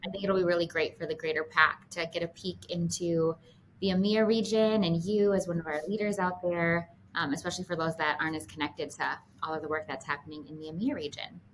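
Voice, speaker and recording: A woman's voice: fairly low pitch, fairly even intonation, a little fast, poor audio. A woman co-hosting a podcast, chatty and upbeat, with lots of fillers. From Minnesota. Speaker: podcast co-host. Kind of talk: casual podcast chat. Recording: faint background noise.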